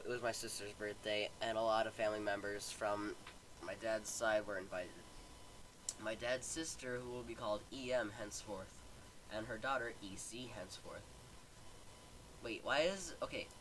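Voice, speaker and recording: A man's voice: fairly low pitch, moderate pace, a bit bright, slight background noise, poor audio. A man casually reading online stories aloud on a home microphone, stumbling over words and stopping to comment. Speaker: story reader.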